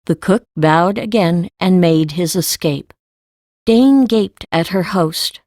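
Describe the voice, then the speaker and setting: A woman's voice: on the low side, takes it slowly, darkish tone, no room sound, studio quality. A woman reading scripted story narration as the narrator of an audiobook.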